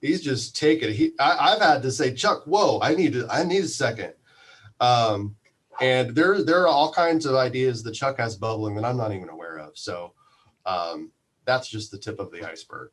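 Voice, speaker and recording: A man giving a casual interview as a guest from a community radio station, speaking haltingly as he thinks aloud. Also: mid-range voice, lively intonation, husky.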